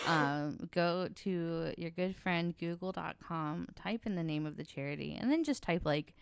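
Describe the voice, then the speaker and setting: A woman's voice: fairly low pitch, measured pace, gravelly, clean tone, no room sound. A woman chatting casually as a podcast panelist, blunt and sweary, rambling a little and poking fun at herself.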